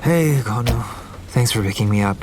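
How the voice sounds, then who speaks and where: An actor playing a reserved, sullen young heir in an audio drama, with a rainstorm behind him. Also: male voice, mid-range voice, expressive, moderate pace, lightly acted. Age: young adult. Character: reserved, sullen young rich heir entering military service. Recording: clear rain and thunder noise.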